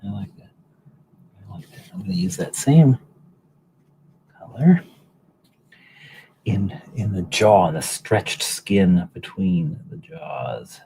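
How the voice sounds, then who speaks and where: A man hosting a livestream, chatting casually and muttering quiet asides to himself as he paints. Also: low-pitched, slow, long pauses, a little hiss or hum, low-quality recording. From Canada. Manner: soft, muttering to himself, drifting between quiet asides and chat. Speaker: livestream host painting miniatures. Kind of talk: casual chat while painting.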